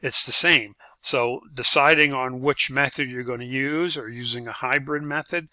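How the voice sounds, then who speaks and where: Male lecturer, very bright and sharp tone, dry close sound, halting explanatory delivery. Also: medium pitch, steady tone, no echo. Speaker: instructor. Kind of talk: informal slide lecture.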